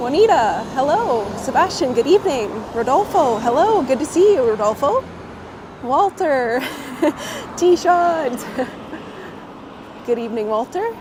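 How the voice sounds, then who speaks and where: A woman a bit hoarse from a cold, chatting live with viewers and greeting them by name while she walks outdoors with a clip-on mic. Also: sits high, big pitch swings, a little slow, long pauses. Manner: friendly, greets viewers by name, laughs often. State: slightly hoarse, getting over a cold. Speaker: live-streaming walking vlogger. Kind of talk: casual live-stream chat while walking. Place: city street outdoors, windy. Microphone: clip-on mic on coat. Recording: outdoor street recording with traffic noise. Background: city traffic and wind.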